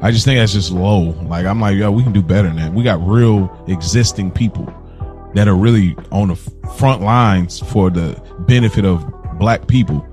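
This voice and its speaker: A man's voice: fairly low pitch, normal speed, darkish tone, faint music behind. An American man co-hosting a podcast, talking casually and emphatically, often repeating himself to drive a point home. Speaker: podcast co-host.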